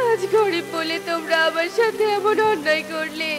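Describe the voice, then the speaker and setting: A woman voicing a poor, gentle mother bird in a children's cartoon, tender, plaintive and sorrowful. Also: very high voice, normal speed. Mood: distressed, pleading. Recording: loud music behind.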